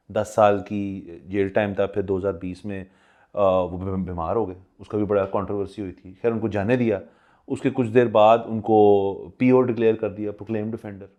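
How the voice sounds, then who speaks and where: A man's voice: lowish voice, fairly even intonation, moderate pace, warm tone, muffled recording. A man with a Pakistani accent co-hosting a podcast, explaining the news in casual chat and often echoing his partner's phrases. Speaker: podcast co-host.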